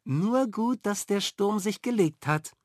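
A man voicing a cheerful young woman teacher, bright and curious. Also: high-pitched, expressive, measured pace, no room sound, studio quality, pitched above the performer's own voice. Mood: amused.

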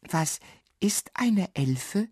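A woman's voice: medium pitch, slow, warm tone, husky, dry recording, studio quality, pitched above the performer's own voice. A woman voicing a tiny, timid male dwarf for a children's storybook, stammering and whispery. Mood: shy wondering.

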